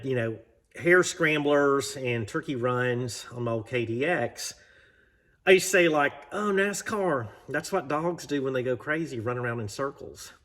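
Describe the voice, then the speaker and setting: A man's voice: medium pitch, a bit bright. An American man rambling casually and informally in a folksy way, thinking aloud as he hosts a garage vlog. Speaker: vlog host. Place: home garage workshop.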